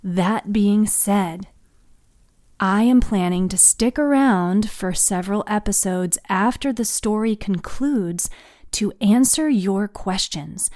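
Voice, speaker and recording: A woman hosts and narrates a fiction podcast. She takes her time over each phrase in a scripted, dramatic storytelling style. The recording is close and dry, with a medium-pitched voice. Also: takes it slowly, darkish tone, no echo. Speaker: writer, narrator and podcast host.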